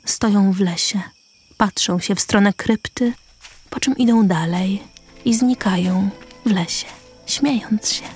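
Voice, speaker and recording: A woman narrates a scripted radio play over music. Her mid-pitched voice moves slowly through short, measured phrases of stage direction. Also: a little mellow. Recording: music behind the voice.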